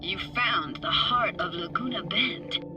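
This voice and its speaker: A woman's voice: medium pitch, unhurried, over loud music, lightly acted, rough recording. A young woman acting a frank, wry character in a video game, speaking in a casual, slangy, conversational way. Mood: playful, nostalgic. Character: frank, wry young woman reminiscing about her drowned hometown.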